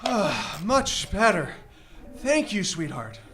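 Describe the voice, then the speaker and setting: High voiced meek husband guy acting, mild, hesitant, stage radio play with faint noise. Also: wildly swooping pitch, slow, exaggerated voice. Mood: grateful, apologetic. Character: meek, grateful husband just rescued. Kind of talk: live radio drama acting.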